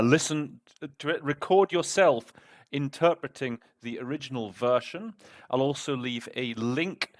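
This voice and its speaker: Brief: male host, easygoing and talkative, mid-pitched, lively delivery. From Britain. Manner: chatty, self-deprecating, with fillers. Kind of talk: casual tutorial introduction.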